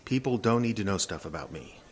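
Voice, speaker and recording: A man's voice: on the low side, lively intonation, brisk, slight background noise, natural voice. An actor playing a guarded, irritable regular guy haunted by nightmares in an audio drama, defensive and exasperated. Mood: wary, guarded. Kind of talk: naturalistic audio drama acting.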